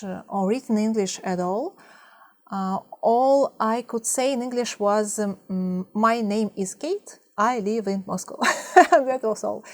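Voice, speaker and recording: A woman in her thirties from Russia with a Russian accent, talking to camera in a soft, hesitant way with many fillers. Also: medium pitch. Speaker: language-learning vlogger. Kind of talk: partly scripted talk to camera.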